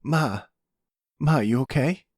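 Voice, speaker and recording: A man's voice: medium pitch, slow pace, no echo, studio quality, voice pushed up. A man playing a brash, loyal young son with a casual, cheeky manner in an ASMR roleplay drama. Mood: worried.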